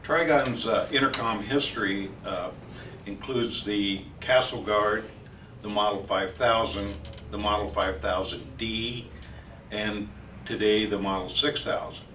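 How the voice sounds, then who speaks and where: Halting low-voiced man in an echoey room. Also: fairly even intonation, a bit bright. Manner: halting delivery with frequent long hesitations. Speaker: company trainer presenting product training. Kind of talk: informal instructional technical explanation. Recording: roomy echo, distant mic, faint noise.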